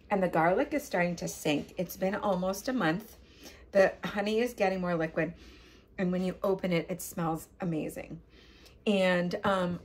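Older woman, low husky voice, friendly kitchen how-to host, phone-filmed at home. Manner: chatty, gestures with hands, frequent ums. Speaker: cooking channel host. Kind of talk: casual how-to explanation. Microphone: phone camera at close range.

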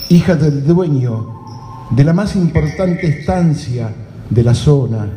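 A man playing a solemn storyteller, narrating live radio theatre with dramatic pauses. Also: medium pitch, natural voice. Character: solemn storyteller of a gaucho legend. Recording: echoey hall with loud music.

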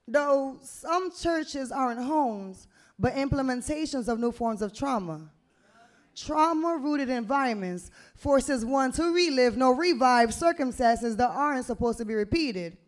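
A young woman recites spoken word poetry at an open mic. Her voice sits high for a woman, and she shapes each phrase deliberately, leaning on key words with a rhythmic emphasis. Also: animated. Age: young adult. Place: small indoor venue stage with brick wall. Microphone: handheld-type vocal mic on a stand, close to the mouth.

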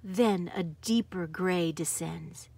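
A woman reading a picture book aloud in an expressive, dramatic manner. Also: on the low side, takes it slowly, vocal fry, breathy edge, no echo. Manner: animated, dramatic, varies from hushed to exuberant. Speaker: storybook reader.

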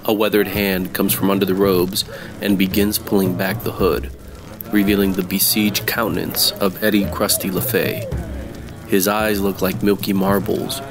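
A man with a low voice narrates a noir audio drama. He tells it in the manner of old-time radio mysteries, with music clearly playing behind him. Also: little pitch movement, normal speed.

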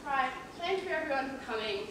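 Very high, flustered nurse played by a woman. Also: expressive, brisk. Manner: flustered, officious. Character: flustered, officious care home nurse. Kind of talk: amateur stage comedy acting. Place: large theatre stage, far camera. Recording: distant, echoey, noisy theatre.

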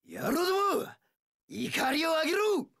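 A rough young pirate warlord for a video game. His voice is gravelly and swoops dramatically between low and high. He takes his time over each phrase like a brash gang boss rallying his crew, recorded dry and close. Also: big pitch swings, fairly bright tone, no room sound, exaggerated voice. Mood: fierce, rousing. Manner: rough delinquent-boss speech, drawn-out battle cries. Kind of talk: video game character voice lines.

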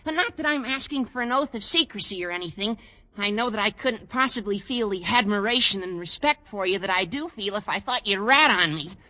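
Eager high-pitched teenage schoolboy. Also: male voice, expressive, fairly quick, bright, forceful tone, exaggerated voice. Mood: confiding. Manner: gushing, earnest, boyish. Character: eager, squeaky teenage schoolboy, naive and conspiratorial. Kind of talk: 1940s radio sitcom acting. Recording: muffled, noisy 1940s radio recording.

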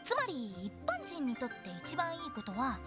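High, lively, playful girl. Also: over loud music. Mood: calm, explanatory. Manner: theatrical, teasing, switching to measured solemn explanation. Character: playful, eccentric teenage girl funeral parlor director. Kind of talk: anime game voice acting.

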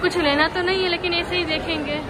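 A woman's voice: extremely high pitch, steady tone, a little fast. A young woman vlogging on a phone held at arm's length, giving an upbeat, chatty tour of a shopping mall. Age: young adult. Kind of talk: casual mall tour vlog. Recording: loud crowd and noise behind.

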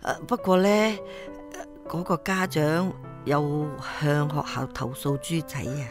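A woman playing a worried, conciliatory older mother in a radio drama, speaking haltingly. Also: low-pitched, fairly even intonation. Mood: placating. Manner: hesitant, stammering, placating. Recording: music playing behind the voice. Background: music.

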